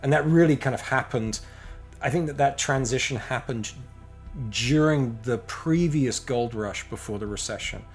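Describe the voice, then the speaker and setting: A middle-aged American man speaks loosely on a podcast, circling his thoughts with restarts and blunt, profane asides. His voice sits at an ordinary pitch, and faint music plays underneath. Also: moderate pace. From United States. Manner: rambling, with many restarts and self-corrections. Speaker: podcast guest. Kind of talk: casual interview chat.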